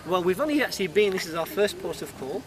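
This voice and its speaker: A friendly British man talks easily in a street interview, pausing on ums as he thinks. His voice sits a little high and sounds some way from the mic. A crowd chatters clearly behind him outdoors. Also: lively intonation. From United Kingdom. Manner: chatty, friendly, hesitant with fillers. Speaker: tourist interviewee. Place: outdoors at a busy tourist site. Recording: distant mic with crowd noise.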